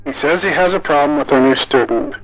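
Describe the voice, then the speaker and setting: An actor playing a businesslike school office staffer in a scripted audio drama. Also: male voice, fairly high pitch, fairly quick, a bit bright, faint music behind, low-quality recording.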